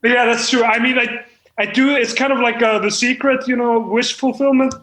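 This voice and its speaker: A man playing an earnest, defensive amateur screenwriter who rambles haltingly through an improvised comedy table read. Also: very high voice, steady tone, fairly bright tone, reverberant, rough recording. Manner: halting, rambling, self-justifying. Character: earnest, defensive amateur screenwriter presenting his own script. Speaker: performer on a comedy podcast.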